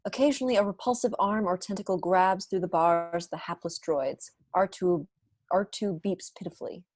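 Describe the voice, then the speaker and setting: A woman's voice: on the low side, normal speed, darkish tone, dry recording. A young woman reading stage directions as scripted narration for an online table read. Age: young adult. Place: room at home, on a video call.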